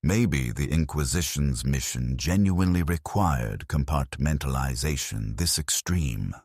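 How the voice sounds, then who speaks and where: A man narrating a dark first-person story in a grave, measured, brooding way. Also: a bass voice, slightly rough, dry recording, clean studio sound.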